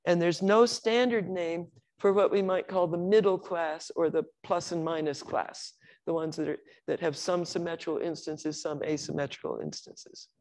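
Older woman, academic lecturer, measured, explanatory, occasional restarts. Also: lowish voice, steady tone, darkish tone, low-quality recording.

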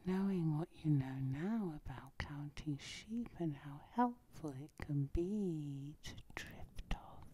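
A woman guiding a sleep hypnosis in a soft, whispery ASMR style, with a soothing, lulling manner. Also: low-pitched, lively intonation, takes it slowly, few pauses, slightly rough. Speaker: hypnotherapist, channel host.

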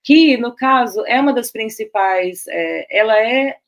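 A woman's voice: on the high side, lively intonation, measured pace. A Brazilian woman giving an academic talk over a video call, speaking loosely with many fillers and restarts. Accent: Brazilian Portuguese. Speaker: guest speaker. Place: home room, on a video call.

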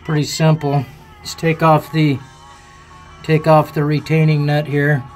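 A man's voice: fairly high pitch, unhurried, soft background music. Laid-back man talking through a boat repair. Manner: relaxed, thinking aloud while working, plain folksy talk. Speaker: boat owner showing a repair. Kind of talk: casual hands-on how-to narration. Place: aboard a boat, in the bilge.

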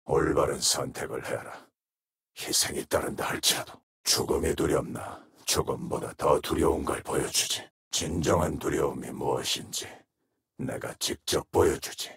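An actor playing a menacing, tormented shadow wraith in a video game, with a gravelly, breathy rasp and grave, terse delivery. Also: male voice, very deep voice, steady tone, moderate pace, a bit bright, heavily acted. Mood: brooding, ominous. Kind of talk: video game character voice lines.

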